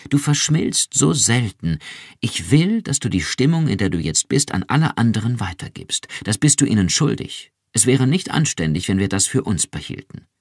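A man's voice: low voice, animated, takes it slowly, dry recording, studio quality, heavily acted. A man voicing an earnest young wife who pleads insistently and fervently.

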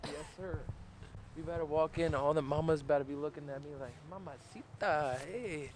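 A man's voice: fairly high pitch, moderate pace, background noise. A young American man chatting casually and jokingly to camera with playful, boastful slang. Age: young adult. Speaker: vlogger. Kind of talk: casual joking vlog chat. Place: mostly inside a large party store.

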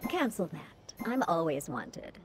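A woman playing a seductive, haughty demon temptress in a video game, with a breathy, flirtatious, theatrical delivery. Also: low voice, sing-song, takes it slowly, heavily acted. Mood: seductive, teasing. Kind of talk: video game character voice lines. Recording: music behind the voice.

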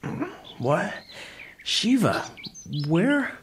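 A man playing an earnest, principled teenage hero in a comic-book audio drama, with a rough, husky voice. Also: high voice, sing-song, very slow, slight background noise, heavily acted. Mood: groggy, unsure.

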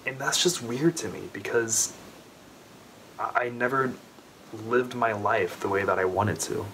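A man's voice: medium pitch, a little slow, fairly bright tone, slight background noise. A young man in his twenties who stutters, talking openly to the camera in his small bedroom. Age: 20s. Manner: stutters, with repeated sounds and restarts. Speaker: vlogger. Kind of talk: heartfelt personal talk to camera. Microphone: camera in front at close range.

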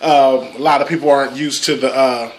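Man, 30s, easygoing cook pitching his team, loose rambling delivery, close phone recording at home. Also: fairly even intonation, fairly quick, rough recording. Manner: laid-back, rambling with fillers. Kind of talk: casual audition pitch. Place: small home living room. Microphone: handheld phone camera close up.

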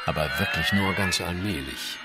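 An actor playing a cool, logical Victorian detective in a German audio drama, speaking formally and in a measured, analytical way. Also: male voice, low voice, natural voice. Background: music.

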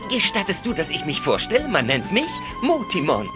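A woman's voice: fairly low pitch, huge pitch swings, normal speed, over music. A woman voicing a small, polite and loyal creature in a German children's audio drama. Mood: polite, reassuring.

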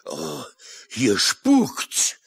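An actor playing a blustering, excitable seafaring uncle in a German children's radio play, with exclamatory sailor talk. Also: male voice, extremely slow. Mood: baffled, uneasy.

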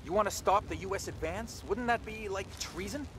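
An actor playing a nervy, sarcastic, wordy soldier in a video game squad. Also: male voice, high voice, normal speed, a bit bright, a little hiss or hum. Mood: worried. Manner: sarcastic, anxious.

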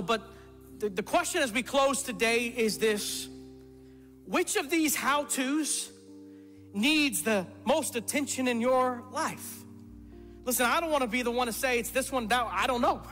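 A man's voice: very high voice, normal speed. A middle-aged man preaching a sermon as pastor, emphatic and repeating words for stress. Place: church stage before a congregation. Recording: reverberant hall with soft music.

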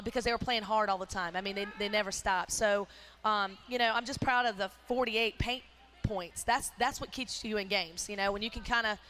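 A middle-aged woman, a basketball coach, talking in a postgame interview on a headset mic at courtside, energetic and emphatic with many fillers. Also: fairly high pitch. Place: courtside in an indoor arena.

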